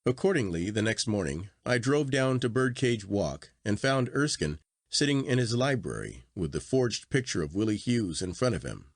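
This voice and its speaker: Male audiobook narrator, lower register, very varied intonation, expressive literary reading, dry close sound. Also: normal speed, dry recording.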